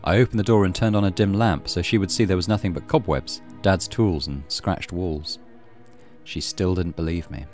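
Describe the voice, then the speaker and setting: A man reading a visual novel aloud as a let's play host, with expressive storytelling and casual commentary. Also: low-pitched, normal speed. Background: soft game music.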